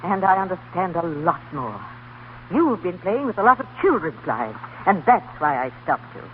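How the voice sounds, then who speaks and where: A muffled, faintly hissy old radio recording carries a stern elderly grandmother. She is dignified and brusque, commanding like the head of a grand household, and her lines swing widely in pitch in period drama style. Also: big pitch swings, moderate pace. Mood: grave, firm. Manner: imperious, brusque. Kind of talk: 1930s radio drama acting. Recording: muffled, faintly noisy 1930s radio broadcast.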